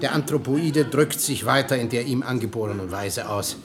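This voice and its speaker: A man's voice: mid-range voice, moderate pace, crowd noise, close to an everyday voice. A man playing a pompous showman tour guide in a radio play, grandiose and declamatory. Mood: solemn, showy. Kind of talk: German radio play acting.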